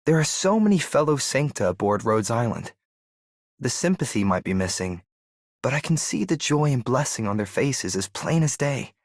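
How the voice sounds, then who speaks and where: A man's voice: mid-range voice, big pitch swings, moderate pace, no room sound, studio quality. An actor playing an earnest, polite man in a video game. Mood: sincere.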